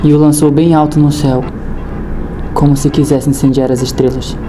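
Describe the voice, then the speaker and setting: A man narrating a story in an even, measured reading. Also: fairly high pitch. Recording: noisy ambient background.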